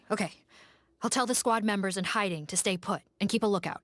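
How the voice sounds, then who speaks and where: A woman's voice: mid-range voice, fairly quick, close to an everyday voice. A woman playing a serious police squad officer in a video game, composed and authoritative. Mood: composed, resolute. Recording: dry studio voice over faint music.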